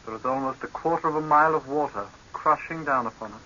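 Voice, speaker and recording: An actor playing an earnest, deferential doctor and deep-sea diving expert in a 1930s radio horror drama, speaking in a measured, respectful, formal way. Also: male voice, pushed and bright. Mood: grave, explanatory. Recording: dull, hissy old 1930s radio recording.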